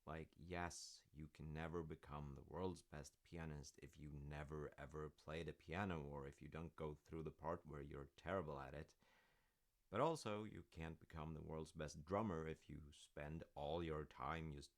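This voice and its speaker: A man playing a supportive, rambling dad in a roleplay audio, talking gently and hesitantly to his grown child in a gravelly voice. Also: a bass voice, fairly even intonation, moderate pace. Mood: reassuring. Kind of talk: roleplay audio, one-sided conversation.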